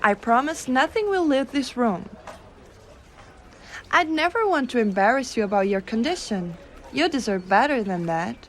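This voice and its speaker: A woman playing a gentle, doting, subtly dominant giantess secretary in an intimate ASMR roleplay, reassuring and softly teasing the listener. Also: on the high side, animated, normal speed, slight background noise, lightly acted. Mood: helpful, lightly teasing. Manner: soft, reassuring, teasing, coaxing.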